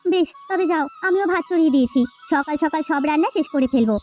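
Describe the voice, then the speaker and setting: A woman plays a kindly, concerned village housewife in a cartoon folktale. Her voice is extremely high and quick, with earnest, expressive delivery. Light music runs underneath. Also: close to an everyday voice. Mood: homely. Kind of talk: Bengali cartoon folktale voice acting.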